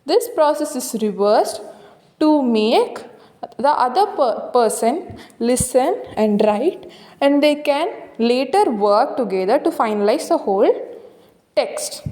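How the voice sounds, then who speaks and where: A woman's voice: high voice, expressive, slow, dark tone. A woman with a South Indian accent teaching, working through exam questions and explaining answers step by step, with small checking tags. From Tamil Nadu, India. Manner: explanatory, checks with "right?" and "okay". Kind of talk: exam question walkthrough lesson.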